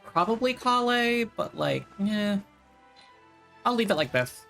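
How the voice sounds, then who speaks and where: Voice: mid-range voice, expressive, measured pace, gravelly, fairly bright tone. A streamer giving informal, rambling live commentary on a game, thinking aloud. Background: game music.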